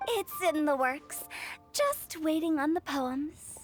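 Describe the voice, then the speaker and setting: Young woman, video game role: high voice, brash confidence, theatrical hard sell, light music underneath. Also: animated, slow. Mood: breezy, confident. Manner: theatrical, boastful salesmanship. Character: cheeky, brashly confident young woman pitching her poetry book.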